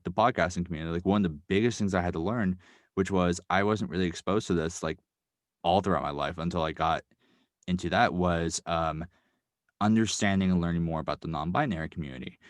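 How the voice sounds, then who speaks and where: Low-voiced young man, halting and thoughtful. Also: no room sound, studio quality. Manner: halting, thoughtful, many fillers and restarts. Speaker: podcast guest, writer and sound designer. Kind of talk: casual interview talk.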